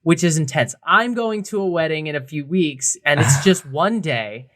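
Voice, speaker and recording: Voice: mid-range voice, moderate pace, a bit bright. Wry, casual podcast co-host. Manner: wry, sarcastic asides; casual, slangy. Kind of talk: casual comedic chat about a beer festival.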